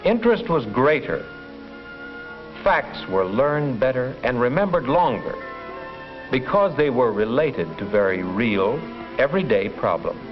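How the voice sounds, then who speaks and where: An earnest male schoolteacher narrates a 1950s educational film with formal, measured phrasing and very lively intonation. Music plays prominently behind him on a dull, old soundtrack. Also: medium pitch. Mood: satisfied.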